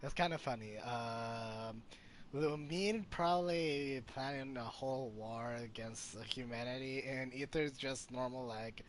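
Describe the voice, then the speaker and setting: A man's voice: mid-range voice, unhurried. Man casually streaming, noticeable background noise. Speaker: game streamer. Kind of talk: casual live gaming commentary.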